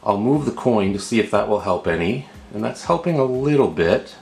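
A man's voice: on the low side, lively intonation, moderate pace, creaky, a little mellow, soft background music. Echoey man giving how-to instructions. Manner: patient, explanatory, repeats himself. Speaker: host of a how-to video. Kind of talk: step-by-step tutorial narration, unscripted. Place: indoor room at a table. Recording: roomy, echoing indoor voice recording.

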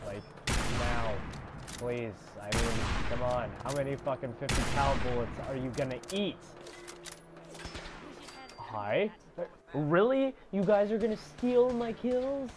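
Slow-mumbling congested young man gaming. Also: medium pitch, animated, background noise. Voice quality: congested, stuffy. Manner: mumbling, swearing, sudden loud outbursts. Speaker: gamer playing a shooter game. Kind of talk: casual gameplay commentary.

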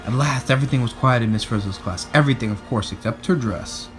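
A man reading a children's picture book aloud in an animated, expressive way. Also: medium pitch, fast talker, faint music behind. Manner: expressive, acts out captions and speech bubbles.

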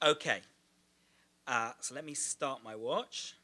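Bright-voiced Israeli man lecturing. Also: mid-range voice, takes it slowly. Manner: emphatic, gestures a lot, rhetorical questions. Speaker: guest lecturer. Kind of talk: academic lecture with slides. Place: small lecture room at a podium. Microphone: podium microphone.

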